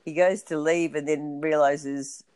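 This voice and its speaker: Low-voiced woman chatting casually. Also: steady tone, a little slow, darkish tone, no echo. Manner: rambling, lots of ums and asides. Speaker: podcast co-host. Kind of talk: casual recap chat.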